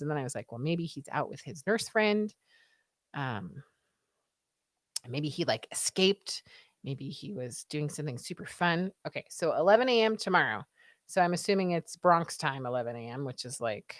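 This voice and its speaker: A woman's voice: fairly low pitch, no room sound, clean studio sound. A woman with a scratchy voice hosting a live stream, chatting casually and reading out viewer comments. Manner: chatty, rambling, reads comments aloud. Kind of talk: casual chat.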